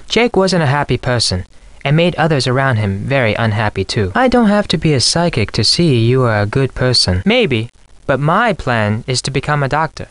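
A man voicing an earnest, polite and anxious studious high school boy in a video game. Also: fairly bright tone, no echo. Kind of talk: scripted video game voice acting.

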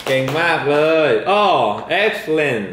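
A man's voice: on the high side, expressive. A man, patiently chatting at home and tutoring his son at the table. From Thailand. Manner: patient, quick agreeing fillers. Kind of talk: casual home chat and English practice. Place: indoors at a home dining table. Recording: echoey home room with music.

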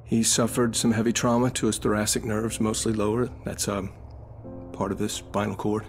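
An actor playing a careful army doctor in a war game, speaking gravely and hesitantly. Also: male voice, lowish voice, little pitch movement, moderate pace, soft background music, natural voice. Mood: grave, reluctant. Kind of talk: dramatic game cutscene acting.

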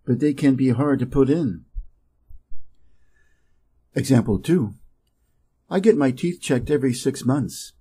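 A man from Wisconsin with an American Midwest accent, an English teacher reading practice dialogues slowly and playing both parts. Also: mellow, slightly rough. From Wisconsin, USA. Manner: reads both dialogue parts, raising his pitch for speaker A.

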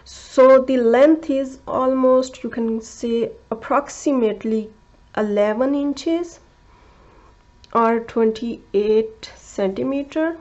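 A woman who speaks English as a second language gives a crochet tutorial, talking slowly and carefully, with many fillers and restarts, and counting stitches aloud. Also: fairly high pitch, a little mellow, lo-fi sound. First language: not English. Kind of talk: step-by-step craft tutorial.

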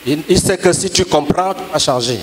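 A man with an Ivorian French accent delivers a forceful, commanding sermon in a big church hall. His voice sits high for a man, and a crowd murmurs loudly around him. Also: fairly quick. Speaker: preacher. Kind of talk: sermon with live interpretation. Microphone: handheld mic. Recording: echoey hall with crowd noise.

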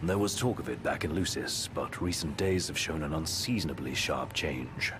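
A man playing a composed, observant young royal adviser in a video game, speaking in a formal, measured, articulate way. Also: extremely low pitch, background noise.

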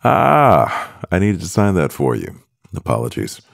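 A man acting a composed, doting CEO boyfriend in an ASMR roleplay, speaking in a measured, gravelly voice. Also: huge pitch swings, no echo, clean studio sound, heavily acted. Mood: composed, businesslike. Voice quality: very deep, gravelly. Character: powerful male CEO boyfriend, composed, doting, gently teasing.

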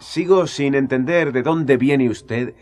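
An actor playing a young, frank, easygoing teacher who speaks plainly in a radio drama. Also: male voice, medium pitch, slow pace, a little mellow, close to an everyday voice.

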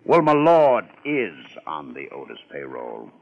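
An actor playing a sarcastic, educated homicide lieutenant with precise, wordy delivery in 1950s radio crime drama. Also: male voice, a bass voice, huge pitch swings, measured pace, dull audio, full character voice. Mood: skeptical, lecturing.

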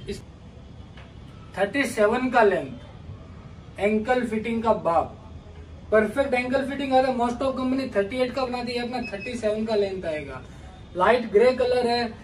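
High-voiced man hard-selling jeans in shop. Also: recorded from a distance, low-quality recording. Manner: energetic hard-sell patter, calls viewers brother. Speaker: clothing shop owner presenting jeans. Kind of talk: sales pitch to camera. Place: large clothing store with stacked jeans shelves. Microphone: camera mic at a distance. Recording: voice from off mic with faint room noise.

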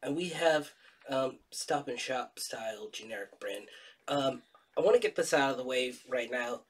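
A man's voice: medium pitch, moderate pace, husky, recorded from a distance. A young man with a cold, nasal and a bit stuffy, chatting jokily through a taste test at home. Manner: jokey, animated, laughs often. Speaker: co-host. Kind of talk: casual taste-test chat. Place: dining room at home.

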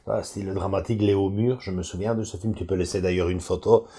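Middle-aged host, mellow lowish voice, hesitant fillers, living room on a far camera. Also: male voice, steady tone, fast, breathy edge. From Belgium. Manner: hesitant, many fillers and restarts. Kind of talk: casual chat about film collecting.

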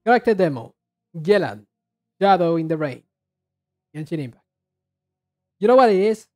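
A man giving casual commentary as a reaction video host, rambling haltingly and often repeating and restarting his words. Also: high-pitched, big pitch swings, darkish tone. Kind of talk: casual commentary on game trailers.

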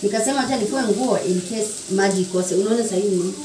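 A woman's voice: mid-range voice, fast talker, background noise. A young Kenyan woman chatting casually and teasing while she cooks at home, mixing slang and English. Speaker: vlogger. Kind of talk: casual chat while cooking. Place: small home kitchen, indoors. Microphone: camera on the counter, a few feet away. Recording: echoey kitchen, far mic, loud sizzling. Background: frying and sizzling pans.

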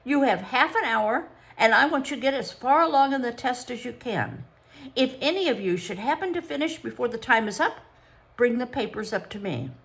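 Brief: woman doing an officious female psychologist, high, brisk, shrill when excited, audiobook. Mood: bossy.

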